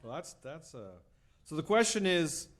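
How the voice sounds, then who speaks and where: A man's voice: fairly high pitch, sing-song, normal speed, fairly bright tone. A middle-aged man, a law professor, answering audience questions after a lecture in a casual, conversational way, often adding "right?" and "you know". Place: lecture hall.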